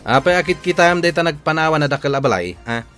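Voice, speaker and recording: A man's voice: fairly high pitch, lively intonation, fast talker, fairly bright tone, clear, resonant tone, soft background music. A man playing a rowdy, teasing, blustering village man in an Ilocano radio comedy drama. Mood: boastful, teasing. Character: rowdy, teasing village man who smokes, quick to bristle.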